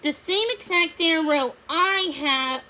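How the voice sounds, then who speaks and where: A woman's voice: very high voice, slow pace, bright, forceful tone, slight background noise, voice pushed up, exaggerated voice. A woman voicing a pompous, brash male puffin soldier with a formal, boastful, blustering manner for a storybook. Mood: indignant, blustering. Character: pompous, brash military puffin, male, hot-headed but gallant.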